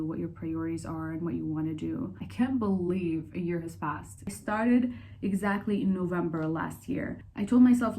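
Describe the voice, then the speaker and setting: A young woman from Saudi Arabia talking casually and candidly in a personal Q&A vlog, chatty and quick to laugh. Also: on the low side, a little mellow, a little hiss or hum. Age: young adult. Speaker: vlogger.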